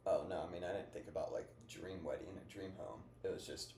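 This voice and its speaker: A man's voice: low voice, a little fast, warm tone. A young man in his twenties hosting a casual podcast chat, rambling and upbeat, full of fillers. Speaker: podcast host. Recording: echoey room with faint noise.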